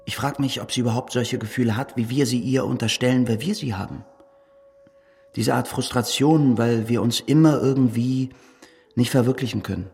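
A man's voice: medium pitch, moderate pace, creaky, darkish tone, husky, soft background music. An actor playing a worried, earnest male writer in a German radio play, with a hesitant, searching and pleading delivery. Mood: troubled, unsure.

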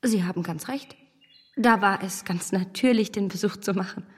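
An actress playing a poised, lively young lady in a radio drama, polite, well-bred and expressive. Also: mid-range voice, moderate pace, slightly rough, long reverb tail, close to an everyday voice. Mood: guarded.

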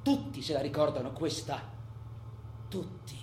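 A man playing a cocky, menacing robber who taunts his partner in crime in a theatrical monologue, swinging between loud bragging and quiet menace. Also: a little high, a little slow. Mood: gloating. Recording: echoey room, faint background noise.

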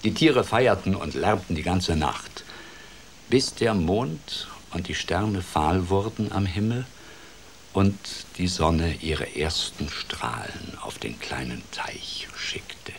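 A man's voice: low voice, takes it slowly, creaky, a little hiss or hum, full character voice. An actor playing a playful, wry older grandfather telling a fairy tale expressively in a radio play. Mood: dramatic storytelling. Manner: expressive, dramatic, shifts between hushed and lively. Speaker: storyteller.

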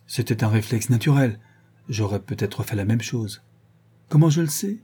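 A man voicing a stern, sharp-witted middle-aged woman who questions two servants and accuses one of them. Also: on the low side, lively intonation, normal speed, slightly rough. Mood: probing. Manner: cold, probing, relentlessly accusing.

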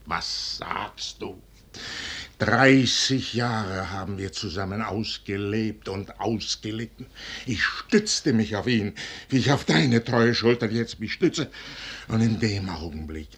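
An actor playing a proud, brooding general in a classical German radio play, declaiming dramatically in verse with a husky voice. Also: male voice, fairly low pitch, exaggerated voice. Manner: declamatory, dramatic, shifting from outbursts to hushed brooding. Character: proud, commanding general, betrayed and brooding, superstitious.

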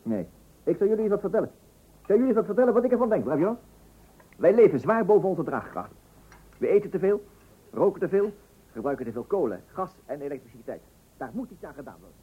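Pompous Dutch husband, husky voice. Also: male voice, high voice, big pitch swings, fast talker, pauses often, full character voice. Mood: indignant. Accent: Netherlands Dutch. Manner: pompous, speechifying, rhetorical. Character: pompous, thrifty, self-important husband and father. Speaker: actor playing the narrator of a family comedy serial. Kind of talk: old Dutch radio comedy acting. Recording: muffled old radio recording.

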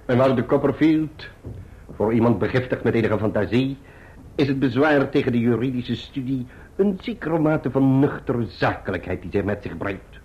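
An actor playing a pompous, genteel middle-aged clerk in a radio drama, florid and wordy with deliberate pauses. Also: male voice, medium pitch, slightly rough, natural voice. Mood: grandly cheerful. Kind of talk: theatrical radio drama acting. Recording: muffled, dull old radio drama recording.

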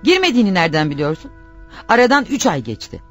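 Radio play wife: reproachful, insistent, sarcastic, very animated delivery, faint music underneath. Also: female voice, mid-range voice, fast. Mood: insistent, persuading. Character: nagging, reproachful wife pushing her jobless husband. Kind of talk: radio theatre acting.

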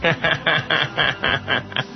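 A Mexican man with a fairly high voice hosts a casual listener Q&A podcast. He talks in a playful, theatrical manner. The sound is slightly dull and lo-fi, with soft music playing behind him. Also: steady tone, extremely slow, vocal fry. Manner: theatrical, mock-exasperated, playful. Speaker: podcast host.

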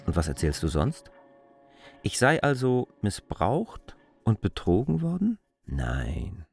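An actor playing a composed, sceptical man in a German radio play, speaking in a measured, argumentative way. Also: on the low side, wildly swooping pitch, gravelly, a little mellow, full character voice. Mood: calm rebuttal. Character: K, a sceptical, composed man dismissing a young woman's fantasies.

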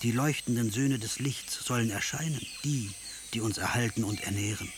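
A man's voice: mid-range voice, moderate pace, background noise. A man narrating a myth for a radio play in a solemn, scripted storytelling delivery. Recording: music behind the voice.

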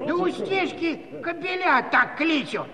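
Whiny comic peasant, super high voice, slow drawn out, complaining radio play. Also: male voice, big pitch swings, long reverb tail, a loud crowd, full character voice. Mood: aggrieved. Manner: folksy, complaining, fumbling formal words. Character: aggrieved rustic Cossack villager, plaintive and comic. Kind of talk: comic musical audio drama.

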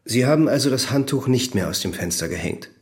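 Actor as a formal, probing examining magistrate. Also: male voice, mid-range voice, expressive, a little fast, lightly acted. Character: persistent, methodical male examining magistrate. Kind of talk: German radio drama acting.

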